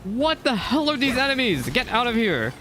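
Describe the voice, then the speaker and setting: A young man commenting on his own gameplay for his viewers, excitable and quick to complain or cheer. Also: very high voice, background noise. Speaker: gaming content creator. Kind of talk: live game reaction commentary.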